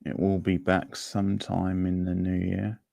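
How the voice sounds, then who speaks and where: A man's voice: low voice, completely flat delivery, a little slow, gravelly, mellow, no room sound, clean studio sound. A man hosting a quiz, chatting casually and informally with viewers on a livestream. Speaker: quiz host.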